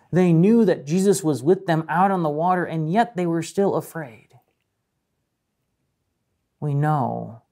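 Mellow-voiced pastor preaching with dramatic pauses. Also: male voice, medium pitch, gravelly. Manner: measured, dramatic pauses and emphasis. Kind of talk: sermon. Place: indoor room with a painted mural, speaking to camera.

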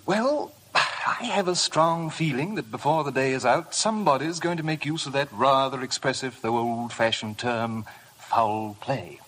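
An actor playing a smug, suave blackmailer with an insinuating, mock-polite manner in a 1950s radio drama. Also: male voice, medium pitch, measured pace, fairly bright tone, close to an everyday voice. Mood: smug, needling. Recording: noisy old 1950 radio broadcast.

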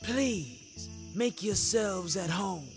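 A man plays a worldly old talking wolf in an audio story. He is genial and rascally, speaking at a slow, theatrical pace with a teasing hint of menace. Music plays underneath. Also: high-pitched, animated, exaggerated voice. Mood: jovial, welcoming.

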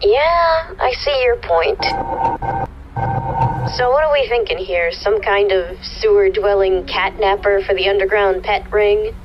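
A woman's voice: medium pitch, measured pace, highs cut off, background noise, close to an everyday voice. A woman playing a skeptical teenage girl sidekick in an audio drama, casual and sardonic. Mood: teasing, skeptical.

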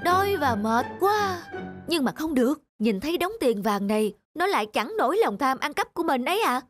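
A greedy old rich man from a cartoon folktale. He is suspicious and frantic, muttering to himself and exclaiming in a high, very lively voice. Music plays beneath him. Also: normal speed, no room sound, full character voice. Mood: exhausted, determined. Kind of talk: animated folktale cartoon acting. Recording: music under the voice.

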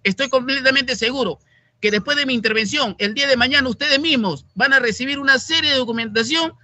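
A man's voice: very high-pitched, quick pace, pushed and bright, no echo. A middle-aged man, a mayor, addressing a committee over a video call from an indoor office in an emphatic, indignant tone, repeating words for stress.

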